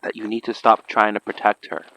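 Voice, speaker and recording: A man's voice: fairly low pitch, level tone, a little fast, creaky, a bit bright. A man playing a wary, level-headed detective in a horror audio drama, speaking in a blunt, understated way. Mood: blunt warning.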